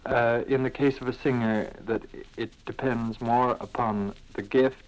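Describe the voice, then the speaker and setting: A man conducting an unscripted interview, asking polite, roundabout questions in a halting way. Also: medium pitch, steady tone, poor audio. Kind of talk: unscripted radio-style interview.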